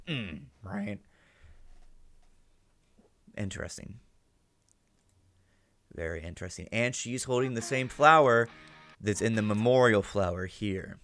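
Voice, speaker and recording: A man's voice: on the low side, slow pace, long pauses, fairly bright tone, faint music behind. A man giving casual reaction commentary as host, thinking aloud, swearing now and then and checking in with "right?". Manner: ends statements with "right?" as a check.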